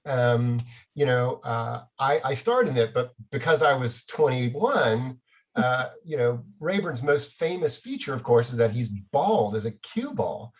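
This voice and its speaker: A middle-aged man, a playwright, telling casual anecdotes in an animated, self-deprecating way with chuckles. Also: medium pitch.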